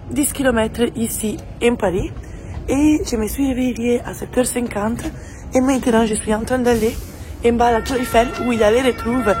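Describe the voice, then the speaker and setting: Italian-accented woman, twenties, chatty vlogger, hesitant with fillers, somewhat high voice. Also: rough recording. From Italy. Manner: chatty, hesitant with many fillers in a second language. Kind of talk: casual vlog chat.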